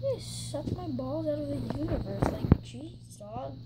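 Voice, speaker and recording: A high-pitched voice improvises a crude, slangy puppet show full of giggles. It is captured on a handheld phone in a bedroom, with very heavy noise all over the recording. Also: animated, a little slow. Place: carpeted bedroom indoors. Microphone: handheld phone close by.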